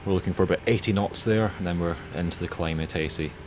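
Low-voiced young man. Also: fast talker, a little hiss or hum. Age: young adult. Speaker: pilot narrating his flight. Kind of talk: casual voice-over commentary.

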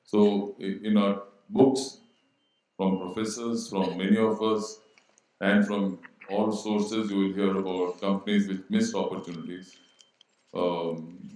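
A middle-aged man with a lowish voice speaks on a business panel, measured and thoughtful, often posing questions to the audience. His handheld microphone carries him through a large auditorium with a heavy, booming echo. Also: a little mellow. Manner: measured, asks rhetorical questions. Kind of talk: panel discussion. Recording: very echoey hall.

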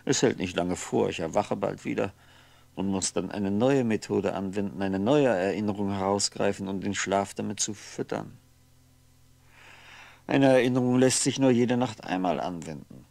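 A man's voice: lowish voice, creaky, a little mellow, no room sound. An actor playing a lonely, weary ageing man musing wryly to himself in a 1960s German radio play. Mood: tired, reflective. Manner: brooding, halting, musing to himself. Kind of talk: 1960s German radio drama monologue, introspective.

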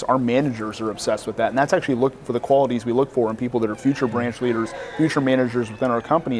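A man's voice: mid-range voice, a little mellow. A man in his thirties explaining his business informally in a conversational interview. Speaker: roofing company co-owner being interviewed. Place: large hotel-style lounge, indoors. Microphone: lapel mic.

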